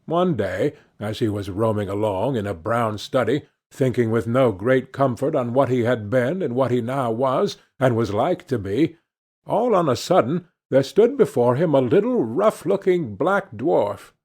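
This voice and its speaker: Male audiobook narrator for fairy tales: scripted storytelling, mid-pitched, very varied intonation. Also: lively intonation, a little slow, a little mellow, breathy edge.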